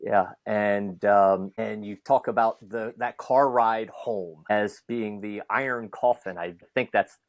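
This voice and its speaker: A man's voice: fairly low pitch, steady tone, slow pace, hardly any pauses, no echo. A man hosting a podcast, talking conversationally and building long, reflective questions. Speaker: podcast host. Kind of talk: conversational interview.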